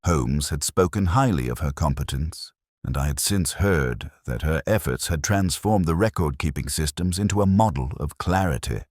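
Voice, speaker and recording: A man narrating a Victorian detective story as a scripted, dramatic audiobook, in a measured, literary manner. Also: extremely low pitch, lively intonation, darkish tone, no room sound, studio quality.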